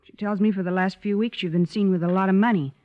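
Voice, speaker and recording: An actress playing a worried, earnest older sister with an American accent in an old radio drama. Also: female voice, mid-range voice, steady tone, quick pace, lightly acted. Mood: guarded, concerned. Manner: earnest, pleading, gently firm. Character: worried, earnest older sister raising her wayward brother. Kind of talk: 1940s-50s American radio drama acting. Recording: dull old radio recording.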